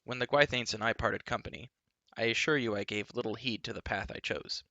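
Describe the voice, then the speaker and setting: A man voicing a proud, bitter young prince who speaks low and hoarse with cold contempt. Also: brisk, pushed and bright, no echo.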